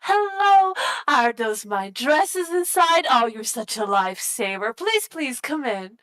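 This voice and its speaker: A woman playing an excitable, gracious hostess in a tabletop roleplay, effusive and showy. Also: sits high, exaggerated voice. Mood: gushing, excited. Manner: effusive, deliberately loud for show.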